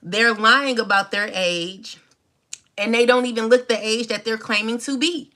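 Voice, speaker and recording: She is a woman in her forties with a fairly high, bright voice that has a hard, forceful edge. She talks off the cuff to her audience as a personal monologue. Her delivery is defiant and emphatic, circling back on phrases to make them land. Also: a little slow. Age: 40s. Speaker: tarot reader and channel host. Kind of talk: unscripted personal monologue to viewers.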